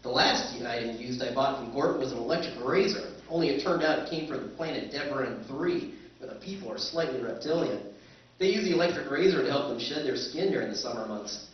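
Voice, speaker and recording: A man's voice: medium pitch, lively intonation, fairly quick, lightly acted. An actor playing a wry, skeptical planetary surveyor who tells his own tale in a staged old-time radio drama. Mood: wry narrating. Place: convention stage. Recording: echoey stage recording, distant and dull.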